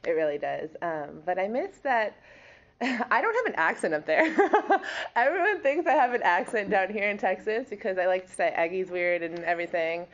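A woman's voice: medium pitch, big pitch swings, normal speed. Bubbly, giggly young woman in dull audio. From Wisconsin. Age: 20s. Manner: bubbly, giggly, chatty with many fillers. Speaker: college volleyball player. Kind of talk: casual interview answers.

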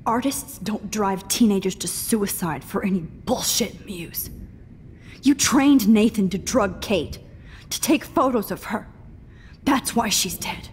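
A woman's voice: mid-range voice, slow, lightly acted. A woman acting a defiant, angry teenage captive in a video game, bitter, terse and accusing. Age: young adult. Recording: long echoey reverb, faint hiss.

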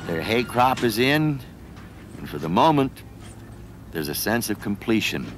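A man's voice: lowish voice, sing-song, a little slow, slight background noise. A man reading scripted narration as the narrator of a documentary.

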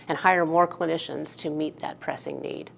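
A woman's voice: low-pitched, steady tone, normal speed, vocal fry, a little hiss or hum. A woman, speaking earnestly as an executive being interviewed. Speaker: chief executive, interview guest. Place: indoor office room, television shoot.

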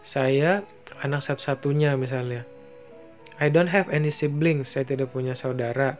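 A man's voice: medium pitch, soft background music. A man with an Indonesian accent teaching basic English phrases over slides, reading each phrase and translating it, with frequent fillers. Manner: slow, explains each phrase then translates it, with many fillers. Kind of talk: bilingual lesson over slides.

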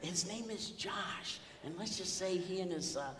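A man's voice: sits high, unhurried. A man acting a sheepish, pushy, evasive friend who stammers as he wheedles, in a comic drama, in a noisy reverberant hall. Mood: sheepish, coaxing. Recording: reverberant hall with noticeable background noise.

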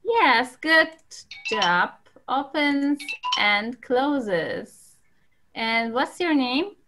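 A woman's voice: high voice, big pitch swings, very slow, background noise. A woman with a Turkish accent teaching an English class over a video call, patiently calling on students.

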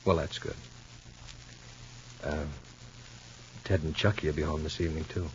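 An actor playing an exasperated but caring man in an old radio soap, halting and chiding. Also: extremely low pitch, fairly even intonation, muffled recording. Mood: reassuring. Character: exasperated, caring man reassuring an anxious woman. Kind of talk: 1940s-50s radio soap opera acting. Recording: noisy old radio drama recording with hum.

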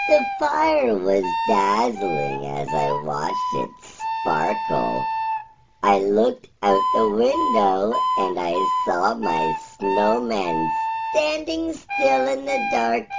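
A man voicing a small gentle alien who reads a children's storybook slowly and haltingly, croaky and breaking into squeaks, over instrumental music. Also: exaggerated voice, poor audio. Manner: slow, halting, childlike storytelling with swooping pitch. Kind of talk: storybook reading in a character voice.

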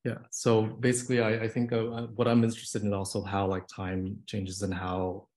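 A man's voice: fairly low pitch, completely flat delivery, normal speed, a little mellow. A young man presenting his photography on a video call, talking casually and nervously with lots of fillers. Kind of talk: casual artist talk.

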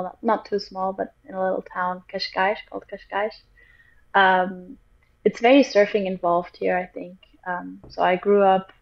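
A young woman from Portugal speaks into a laptop mic from a small room, soft-spoken and a little hesitant, pausing often on fillers. Her pitch stays flat and even. The call audio is dull and lo-fi. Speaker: guest, pro surfer. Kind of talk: casual interview over video call. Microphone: laptop or webcam mic on a video call.

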